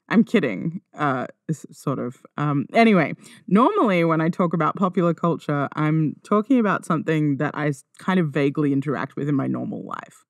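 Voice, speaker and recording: A woman's voice: sits low, a little mellow, no echo, studio quality. A woman hosting a podcast solo in a casual, conversational way, wry and self-deprecating. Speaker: podcast host. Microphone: close podcast microphone.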